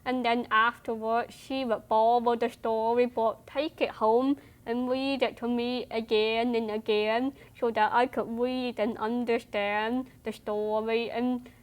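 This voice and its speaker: Slow, higher-voiced young woman. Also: little pitch movement, clear, resonant tone. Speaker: interviewee. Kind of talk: personal storytelling.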